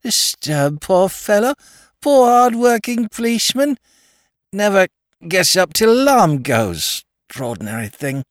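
A jolly, drunk young aristocrat, slurring. His pitch jumps high and swoops all over as he rambles. The recording is close and dry. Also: sing-song, measured pace, no room sound. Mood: indignant.

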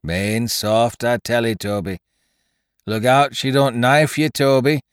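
A man's voice: mid-range voice, fairly even intonation, slow pace, smooth, dry recording, studio quality, pitched above the performer's own voice, close to an everyday voice. A man voicing a drunken pirate gunner, teasing and warning in rustic archaic dialect for an adventure audiobook. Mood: tipsy warning.

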